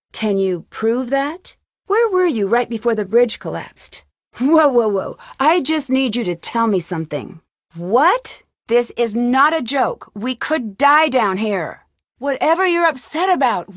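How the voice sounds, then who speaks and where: A young woman detective for a video game, her voice on the high side and recorded dry. She is inquisitive and level-headed, putting questions in an earnest, probing way. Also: no echo. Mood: urgent, firm. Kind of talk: video game voice acting, isolated dialogue lines.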